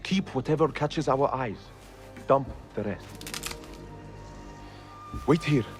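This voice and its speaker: A man's voice: mid-range voice, slow pace, soft background music, close to an everyday voice. A man playing a callous boss of dock guards in a video game, commanding and businesslike. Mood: curt, commanding. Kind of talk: dramatic video game acting.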